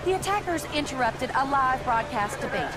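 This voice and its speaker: An actress playing a brisk television news reporter in a video game, formal and urgent. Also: female voice, high voice, expressive, over a noisy crowd. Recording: loud music behind the voice.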